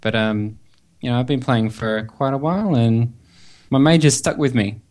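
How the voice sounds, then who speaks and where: A man with an Australian accent and a medium-pitched voice. He is a podcast guest, answering questions in a casual, conversational way. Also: normal speed, darkish tone. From Perth, Western Australia. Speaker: podcast guest, website developer. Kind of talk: casual interview chat.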